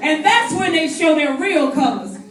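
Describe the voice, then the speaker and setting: A woman's voice: sits high, expressive, a little hiss or hum. A woman acting in a stage play as a blunt, sassy, protective best friend, with emphatic, sardonic delivery. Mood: mocking, emphatic. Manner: emphatic, drawn-out, sardonic, preacherly flourishes. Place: stage in a roomy hall. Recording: echoey hall from far off.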